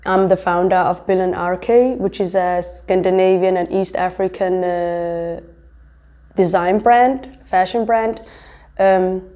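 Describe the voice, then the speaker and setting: A young woman with a low, mellow voice answers interview questions in a relaxed, chatty way. She fills her sentences with "um" and "like" as she explains her work. Born in Somalia and raised in Denmark. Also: slow pace. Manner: chatty, many fillers like "um" and "like". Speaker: fashion designer and pharmacy student.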